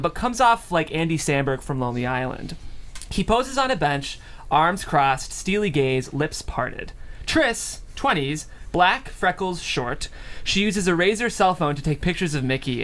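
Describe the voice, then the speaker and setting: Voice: mid-range voice, sing-song, a little slow, a bit bright. A host presenting a studio table-read show and reading out script directions. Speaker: host and stage-direction reader. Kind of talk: studio talk show hosting and script narration. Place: studio, several mics around a table.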